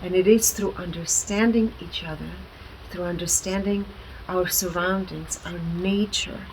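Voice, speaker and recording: A woman speaking earnestly and deliberately as an interview guest, explaining her work with emphatic stresses. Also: fairly low pitch, steady tone, a little mellow, a little hiss or hum, rough recording. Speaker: guest, alternative wellness practitioner.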